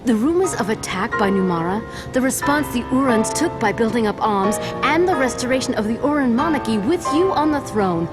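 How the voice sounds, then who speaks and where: A woman voicing a dignified, earnest queen in a fantasy game cutscene, formal, courteous and deliberate in her speech. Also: on the high side, expressive, over loud music, natural voice. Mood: grave, urgent. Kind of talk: scripted video game cutscene acting.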